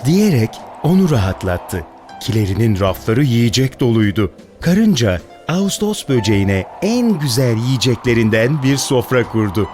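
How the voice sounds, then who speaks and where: A man's voice: medium pitch, very animated, normal speed, a little mellow. A man narrating a scripted children's fairy tale as a storyteller. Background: music.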